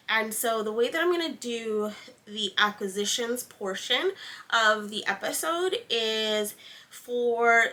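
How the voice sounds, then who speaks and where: A young woman from the East Coast with a noticeably congested voice hosts a knitting podcast from a seat at home. She rambles without notes, filling gaps with ums. Her tone is bright and crisp at a middle pitch. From East Coast of the United States. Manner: rambling, unscripted, many ums. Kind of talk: casual sit-down chat. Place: home, seated indoors.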